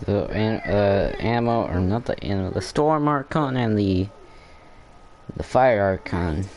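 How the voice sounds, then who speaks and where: A man's voice: lowish voice, unhurried, a little mellow. A man giving casual, offhand commentary while playing a video game. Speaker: gamer. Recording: faint background noise.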